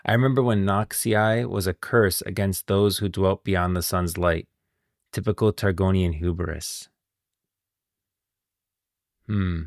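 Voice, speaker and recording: A male streamer talks casually to his viewers in a low voice with plenty of gravel. His delivery is informal and laid-back, and the sound is close and dry. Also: no room sound. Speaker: live streamer. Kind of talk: casual live stream chat and commentary.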